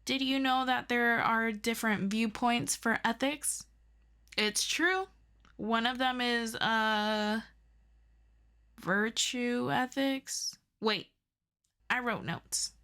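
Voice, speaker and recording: Slow-talking, earnest superhero best friend voiced by a woman. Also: a little high, pushed and bright, clear, resonant tone. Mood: earnest, explaining. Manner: halting, casual, self-deprecating teacher act. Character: earnest, eager young superhero best friend playing amateur ethics tutor. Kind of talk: audio roleplay addressed to the listener.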